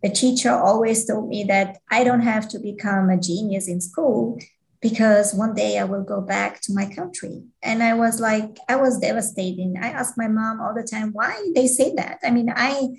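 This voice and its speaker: Woman from Switzerland, halting speech. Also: a little high, steady tone, creaky, rough recording. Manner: hesitant, with frequent restarts and non-native grammar. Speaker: interview guest. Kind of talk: casual interview talk.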